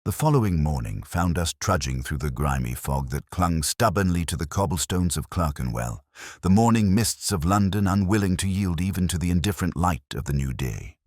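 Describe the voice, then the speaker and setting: A man narrating a scripted mystery story in a measured, formal, literary manner. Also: low voice, expressive, darkish tone, no echo, studio quality. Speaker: audiobook narrator.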